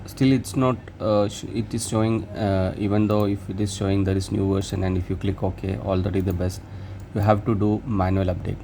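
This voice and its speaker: Low gravelly man, flat halting tutorial voice. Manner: hesitant, halting, restarts words mid-step. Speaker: tech tutorial presenter. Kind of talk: screen-recorded how-to walkthrough. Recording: noisy room, hum and traffic behind.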